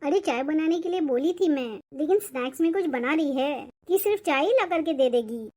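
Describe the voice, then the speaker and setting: Woman voicing older mother-in-law, cartoon family drama, high pitch, dark mellow tone, nagging, resentful. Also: lively intonation, a little fast. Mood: irritated, complaining. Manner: scolding, complaining.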